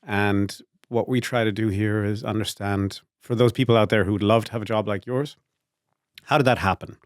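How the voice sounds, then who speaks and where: A man's voice: lowish voice, steady tone, normal speed, no room sound, studio quality. A man hosting an interview show, asking curious, conversational questions with hesitant restarts. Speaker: podcast host.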